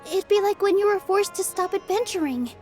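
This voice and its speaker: A woman playing a gentle, polite, earnest young female theater dancer in a video game. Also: very high voice, expressive, fairly quick, soft background music. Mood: worried. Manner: polite, soft-hearted, earnest.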